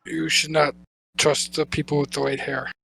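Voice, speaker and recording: Very monotone, creaky-voiced man. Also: a little high, normal speed, no room sound. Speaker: tabletop game player. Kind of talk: in-character tabletop roleplay.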